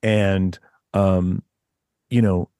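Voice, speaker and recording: Deep voiced guy rambling about his writing, lowish pitch, very dry sound. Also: little pitch movement, drawn-out words, mellow, smooth, no echo. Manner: rambling, many restarts and fillers. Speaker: author guest. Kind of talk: casual interview chat.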